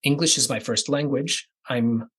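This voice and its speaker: A man from the American Midwest hosting a podcast, reading a scripted introduction in a measured, deliberate cadence that moves through lists. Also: medium pitch, fairly bright tone, breathy edge. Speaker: podcast host.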